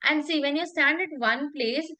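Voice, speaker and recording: The high-voiced, emphatic Indian woman you would hear running a one-to-one public speaking session, repeating each tip and asking if that's okay. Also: normal speed, bright, forceful tone. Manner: emphatic, repetitive, checks in with "okay?". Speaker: public speaking coach and talk show host. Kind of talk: informal coaching conversation with anecdotes.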